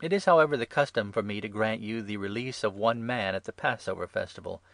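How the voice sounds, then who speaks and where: A man voicing an exasperated Roman governor appealing to a crowd, loud and declamatory, in a dramatised scripture reading. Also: on the low side, fast, vocal fry, no room sound, studio quality.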